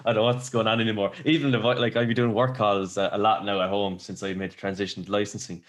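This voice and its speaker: An Irish man from Limerick with a fairly low voice chats easily as a podcast guest. He tells his stories fast, slips into little quoted asides and laughs a lot along the way. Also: flat delivery, background noise. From Ireland. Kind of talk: casual chat.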